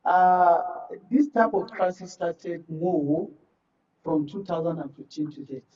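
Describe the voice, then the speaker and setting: A man's voice: a little high, slow pace, darkish tone, slightly rough. A Nigerian man speaking as a guest political commentator in a live discussion, emphatic and repeating phrases to make his point. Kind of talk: live current affairs discussion. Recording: muffled, distant microphone.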